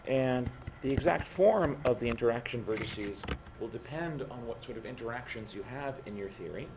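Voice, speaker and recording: A man giving a physics lecture while losing his voice, speaking haltingly and often breaking off mid-phrase. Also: medium pitch, lively intonation. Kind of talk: university lecture at a board. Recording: noisy classroom recording.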